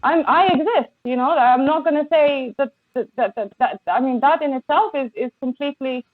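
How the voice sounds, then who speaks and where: A woman guest on a podcast, speaking with passion and many halting restarts over a remote call. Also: high-pitched. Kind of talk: impassioned conversation. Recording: tinny phone-like line, dry.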